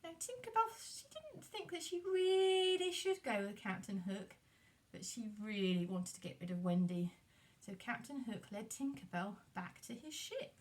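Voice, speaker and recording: Animated woman telling a children's story. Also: on the high side, a little slow, distant mic. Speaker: puppeteer and storyteller.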